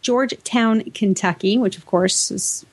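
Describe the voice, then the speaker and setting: A woman's voice: mid-range voice, unhurried. A woman with an American accent and a mid-range voice co-hosts a podcast. She talks casually and chattily, with a playful, teasing edge. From New England, United States. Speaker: podcast co-host. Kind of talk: casual co-host banter and chat.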